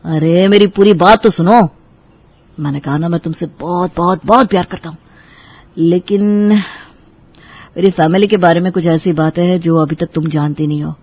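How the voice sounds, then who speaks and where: A man's voice: on the high side, steady tone, normal speed, darkish tone, dry recording. A man playing an earnest, devoted young suitor, explaining sincerely in an audio story drama. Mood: sincere, coaxing.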